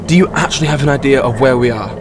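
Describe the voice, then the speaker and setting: A man acts a sarcastic young travelling companion in a sci-fi audio drama. His mid-pitched voice is dry with sarcasm and exasperation, over a clearly noisy background. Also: racing, close to an everyday voice. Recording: noisy ambience behind the voice.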